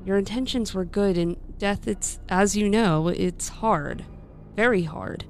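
A woman playing a reassuring demon hunter in a radio play, gentle and assured with a folksy touch. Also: mid-range voice, unhurried, soft background music, lightly acted. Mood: firm, reassuring. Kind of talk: scripted radio play acting.

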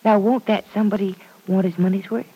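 Husky young woman, earnest, self-deprecating, courteous, mid pitch, 1940s radio drama recording. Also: steady tone, quick pace, highs cut off. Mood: earnest, attentive. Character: modest, earnest young woman starting as assistant. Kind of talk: 1940s radio soap opera acting.

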